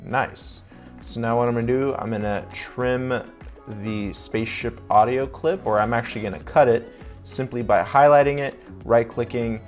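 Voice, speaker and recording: A young man hosting a sponsored video-editing tutorial, explaining each step in an upbeat, casual way. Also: lowish voice. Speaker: video editor and tutorial host. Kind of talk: sponsored software tutorial walkthrough.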